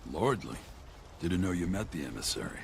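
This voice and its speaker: An actor playing a dry, practical, gruff mercenary in a video game, with a gravelly voice. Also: male voice, low-pitched, very animated, normal speed, a little hiss or hum, exaggerated voice. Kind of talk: video game cutscene acting. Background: music.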